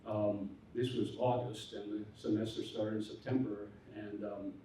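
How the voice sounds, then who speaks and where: An older man, a theologian on a conference panel, telling his story in a halting, earnest way with many restarts. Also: on the low side, level tone, mellow. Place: conference hall. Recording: echoey hall from far off, faint noise.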